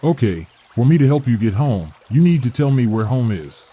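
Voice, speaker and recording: A man's voice: medium pitch, very animated, moderate pace, dark tone, slight background noise, natural voice. A man playing a kindly, protective older man with a folksy, colloquial way of talking in a dramatized audio comic. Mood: calm, concerned. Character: kindly older homeless man, gruff but protective rescuer.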